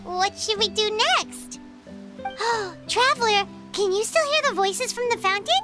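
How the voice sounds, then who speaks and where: A woman voicing a small, curious, chatty childlike fairy companion in a video game, with a squeaky voice. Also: unhurried, over music. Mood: questioning. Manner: squeaky, talks of self in third person.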